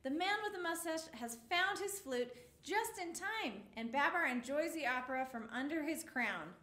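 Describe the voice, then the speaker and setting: A woman in her thirties reading a picture book aloud to young children, with expressive exclamations, in a small studio. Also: high-pitched, normal speed, echoey room. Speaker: storytime reader. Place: small indoor studio with a rainbow mural.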